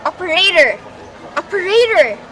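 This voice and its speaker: A highly strung, demanding lady played by a woman with an extremely high voice. She is theatrical and insistent, stammering as she pleads her case in an amateur radio drama. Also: very animated, normal speed, fairly bright tone, some chatter behind, heavily acted, low-quality recording. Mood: exasperated, demanding. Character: anxious, imperious, highly strung woman caller. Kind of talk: amateur radio play acting.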